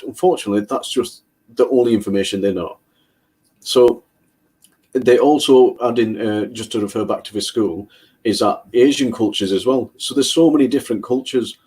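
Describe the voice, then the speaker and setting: A man co-hosting a panel discussion, from Britain, talking in an earnest and measured way. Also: fairly low pitch, a little mellow, slightly rough.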